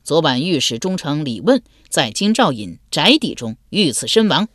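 A woman voicing a commanding, suspicious male general in an audiobook, gruff, sardonic and authoritative. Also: low voice, very animated, normal speed, a bit bright, no echo, clean studio sound, heavily acted. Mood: suspicious, stern. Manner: barking, authoritative.

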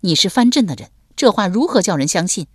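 A woman's voice: mid-range voice, expressive, fast talker, husky, no room sound, studio quality. A woman voicing a cold, fierce and stubborn young woman in an audiobook, stern and curt. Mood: fierce, accusing.

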